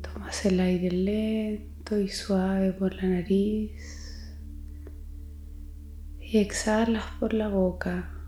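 A woman leading a guided meditation, speaking gently and soothingly in a soft voice with long pauses. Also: mid-range voice, little pitch movement, echoey room. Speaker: psychologist guiding meditation. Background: music.

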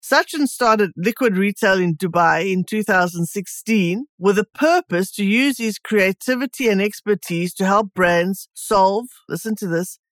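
A woman's voice: medium pitch, measured pace, hardly any pauses, dry recording, clean studio sound. A woman hosting a podcast, upbeat and enthusiastic as she introduces her guest and asks questions. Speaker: podcast host. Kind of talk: scripted intro and interview questions.

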